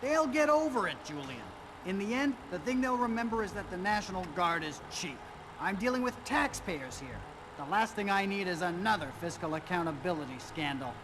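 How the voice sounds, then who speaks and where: A man's voice: high-pitched, lively intonation, brisk. An actor playing an irritable, penny-pinching male government official in a video game cutscene, brusque and dismissive. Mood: irritated, dismissive. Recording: clear vehicle-like background noise.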